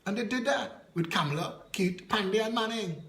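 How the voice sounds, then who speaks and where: A Trinidadian man with a high, ringing voice delivers a fiery political address. He is scornful and full of rhetorical questions. The room around him is echoey. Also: moderate pace, fairly bright tone. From Trinidad and Tobago. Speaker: political party leader.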